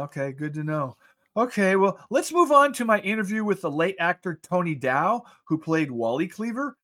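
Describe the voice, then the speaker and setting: High-pitched, animated male radio host. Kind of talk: casual studio banter and interviewing.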